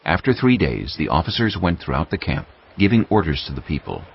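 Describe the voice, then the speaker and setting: Deep, gravelly male Bible narrator. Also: sing-song, a little fast. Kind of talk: scripted dramatic Bible narration. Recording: slightly dull audio over music. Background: music.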